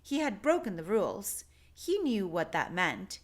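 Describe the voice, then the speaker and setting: Slow, expressive woman reading to children. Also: medium pitch. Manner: deliberate, clearly phrased for children. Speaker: children's story reader. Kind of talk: expressive picture-book read-aloud narration.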